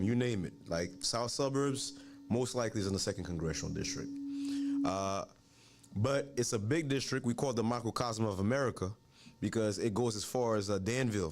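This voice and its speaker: A man with a Haitian accent, a candidate answering interview questions calmly and methodically. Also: fairly low pitch. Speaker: guest, political candidate.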